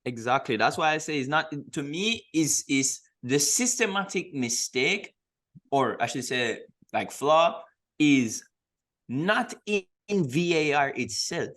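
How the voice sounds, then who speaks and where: A man's voice: fairly high pitch, expressive, measured pace, fairly bright tone. A man co-hosting a sports podcast, giving emphatic opinions in a casual discussion and repeating points to stress them. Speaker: podcast co-host.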